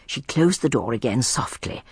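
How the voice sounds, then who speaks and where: An actress playing a genteel, observant old lady in a radio drama, prim, polite and measured. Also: very deep voice, animated, darkish tone, slightly rough, dry recording, heavily acted. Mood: quietly curious. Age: older. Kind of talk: BBC radio drama acting and narration.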